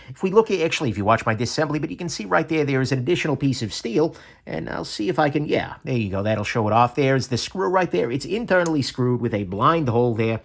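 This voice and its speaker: Chatty man with a lively, swinging pitch. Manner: chatty and self-correcting, frequent ums and stammered restarts; lively, swinging pitch between upbeat and low asides. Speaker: knife reviewer, channel host. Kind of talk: casual product review to camera.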